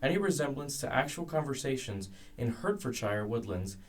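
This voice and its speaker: A man's voice: medium pitch, sing-song, normal speed. A man narrating the scripted introduction to a radio play in a formal, tongue-in-cheek way. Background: plucked guitar music.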